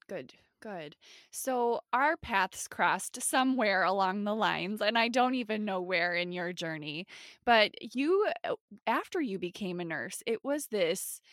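Minnesotan woman, podcast host; gravelly, low-ish, dry close mic. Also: fairly bright tone, dry recording. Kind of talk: casual podcast interview.

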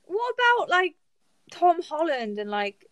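A woman's voice: very high voice, sing-song, unhurried, a bit bright, dry recording. A young woman co-hosting a podcast, talking informally and animatedly with her friends. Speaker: podcast co-host. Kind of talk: casual chat among friends.

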